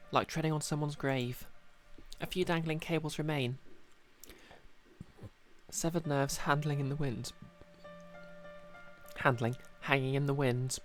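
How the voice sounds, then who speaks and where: A man with a slightly high voice reads story narration aloud as a streamer. He drops in casual asides of his own, with music playing faintly behind him. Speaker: streamer reading a visual novel aloud.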